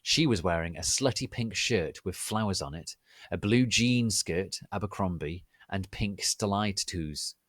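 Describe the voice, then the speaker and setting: A man giving a theatrical, deliberate, mock-serious dramatic reading of fan fiction. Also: sits low, fairly bright tone, no room sound, studio quality. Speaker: reader of online fan fiction.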